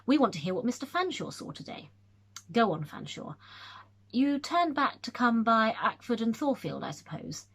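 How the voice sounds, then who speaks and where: A woman's voice: on the high side, big pitch swings, moderate pace, a bit bright, pitched above the performer's own voice, exaggerated voice. A woman doing a brusque old squire. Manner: brusque, cuts others off.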